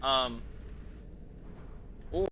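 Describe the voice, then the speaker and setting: A man livestreams gameplay in a very bright, forceful voice of middling pitch. He talks casually to viewers in loose slang with plenty of swearing. There is faint background noise behind him. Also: expressive, drawn-out words. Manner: profane, slangy. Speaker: video game livestreamer.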